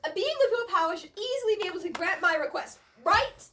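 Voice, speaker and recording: A woman's voice: extremely high pitch, animated, quick pace, recorded from a distance, a crowd in the background, pitched above the performer's own voice. A woman voicing a whiny, rambling, naively earnest half-elf man in a comic fantasy reading. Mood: pleading. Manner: chatty, whining, naively earnest.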